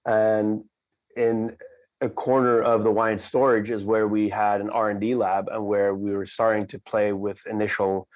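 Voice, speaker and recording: A man from the Netherlands, a company cofounder, telling a story in a measured, reflective way and slowing down for emphasis. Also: on the low side. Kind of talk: conversational storytelling.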